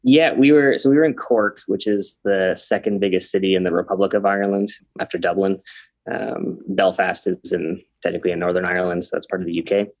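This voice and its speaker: A man from Canada, in his thirties, talks as a guest on a podcast. His voice is low with a gravelly edge. He takes his time and fills gaps with ums and uhs. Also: fairly even intonation, fairly quick, darkish tone. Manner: hesitant, many ums and uhs, easygoing. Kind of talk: casual interview chat.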